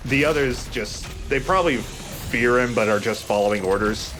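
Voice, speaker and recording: A man's voice: medium pitch, moderate pace, slight background noise. A man giving casual commentary as he plays through a video game. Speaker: let's player.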